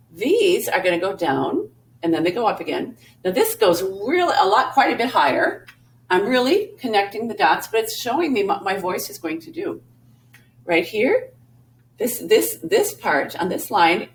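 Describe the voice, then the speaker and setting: Animated woman teaching kids music. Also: medium pitch, a little hiss or hum, low-quality recording. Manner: patient, explains step by step to young children; sings short phrases to show melody. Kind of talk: recorded remote music lesson.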